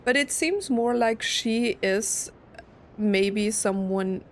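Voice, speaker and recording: A woman's voice: medium pitch, slow pace, slight background noise. A young adult woman giving casual let's play commentary, chatty and thinking aloud as she goes. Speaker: game streamer. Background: game music.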